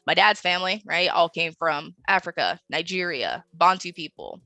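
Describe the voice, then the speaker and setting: Creaky, bright-voiced woman, podcast guest. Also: fairly low pitch, lively intonation, moderate pace, no echo. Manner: earnest, conversational, seeking agreement with tags. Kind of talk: casual, personal interview talk.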